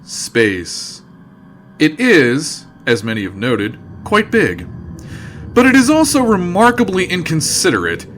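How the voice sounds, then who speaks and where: A man's voice: on the high side, wildly swooping pitch, slow, a bit bright, a little hiss or hum, heavily acted. A man playing the wry storyteller of a sci-fi audio comedy, droll and theatrical. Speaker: narrator. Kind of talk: wry scripted comedy narration.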